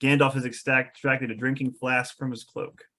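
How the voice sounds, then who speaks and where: A man's voice: medium pitch, level tone, moderate pace, bright, forceful tone. A man reading scripted stage directions as narrator at an amateur comedic table read.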